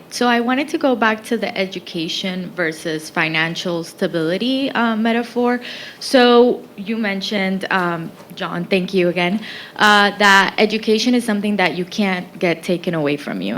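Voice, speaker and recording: A woman's voice: medium pitch, a bit bright, slight background noise. A woman in the audience asking the panel a long, thoughtful question in a polite tone. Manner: polite, thoughtful, a few fillers. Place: conference room, in the audience.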